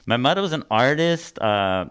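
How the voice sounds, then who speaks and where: A middle-aged man with an Austrian accent who tells his story in a relaxed interview, rambling with many restarts and fillers. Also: mid-range voice, normal speed, clean tone. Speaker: arts program director.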